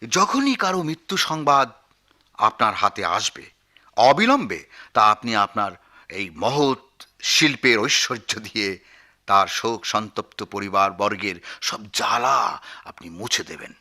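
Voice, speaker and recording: Pompous male newspaper editor, very bright forceful voice, swooping delivery, florid comic radio-play grandeur. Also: on the low side, expressive, measured pace, exaggerated voice. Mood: effusive, instructing. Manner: pompous, florid, wheedling, with hesitant filler sounds. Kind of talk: comic Bengali radio drama acting.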